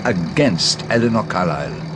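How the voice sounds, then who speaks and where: An actor playing a shrewd, courteous detective with a French-speaking European accent and formal, deliberate, probing phrasing in a radio drama. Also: male voice, sits low, lively intonation, background noise. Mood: probing, insistent. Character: shrewd, courteous foreign male private detective, probing and precise.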